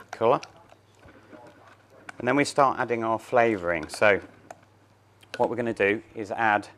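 A man's voice: mid-range voice, fairly bright tone, slight background noise. A man in his thirties, a chef, talking through a cooking demonstration step by step in a large showroom kitchen. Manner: plain, step-by-step explaining while working.

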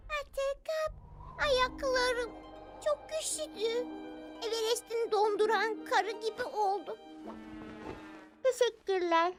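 A woman's voice: very high voice, takes it slowly, pauses often, over music. A woman voicing a sweet, needy little sick girl, whiny and pleading, in a cartoon dub. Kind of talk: animated cartoon dub acting.

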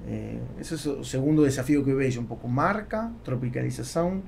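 Argentine man with a gravelly voice. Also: medium pitch, animated, a little fast, darkish tone, a little hiss or hum. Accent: Argentine Spanish. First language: Spanish. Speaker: podcast guest, entrepreneur. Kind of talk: conversational interview. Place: small foam-treated podcast studio. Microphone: close podcast microphone on boom arm.

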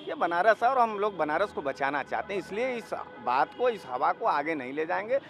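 A man's voice: high voice, sing-song, fairly quick, fairly bright tone, faint music behind. A man in his thirties with an eastern Uttar Pradesh accent, arguing emphatically in a street interview. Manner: emphatic, argumentative. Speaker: local interviewee. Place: outdoors, seated by a street wall. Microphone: handheld reporter's microphone. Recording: faint street noise.

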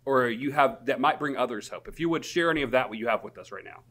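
Podcast host, mid pitch, supportive, lots of "mm-hmm". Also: fast, a bit bright. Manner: encouraging, frequent affirmations. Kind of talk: casual podcast interview.

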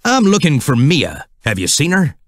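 A man's voice: a little high, huge pitch swings, normal speed, no room sound, studio quality. A man voicing a dogged, folksy tow truck private eye with tough detective talk in a storybook. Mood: probing.

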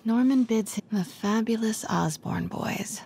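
A woman's voice: fairly high pitch, slow, creaky, mellow, a little hiss or hum. A woman voicing a determined young reporter in a video game, murmuring to herself and often dropping to a whisper as she sneaks. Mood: curious, intent. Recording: background music under the voice.

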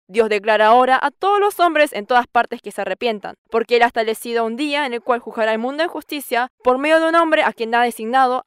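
A woman in her sixties gives an earnest church testimony on a studio stage, quoting scripture at length. Her voice is high and clear. The sound is dry, close and steady, from a lapel mic. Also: no room sound. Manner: earnest, quotes scripture at length and acts out dialogue with a raised voice. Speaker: farmer giving testimony.

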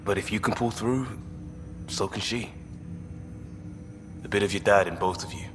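An actor playing an easygoing, supportive crewmate in a space video game. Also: male voice, on the low side, moderate pace, music in the background, lightly acted. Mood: gentle, reassuring. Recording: echoey with faint ambience.

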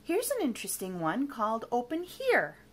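A woman voicing a timid, lonely, gentle monster in a storybook, plaintive and hesitant. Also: fairly high pitch, huge pitch swings, normal speed, breathy edge, heavily acted. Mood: hopeful.

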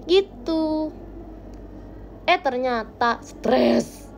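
A young woman hosting a casual livestream from her bedroom, chatting with viewers into a phone held close. She talks playfully, with quiet murmurs that jump into bright, lively bursts. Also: sits high, drawn-out words, slight background noise. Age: young adult. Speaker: idol livestream host. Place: small bright bedroom indoors. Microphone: phone camera close to face.

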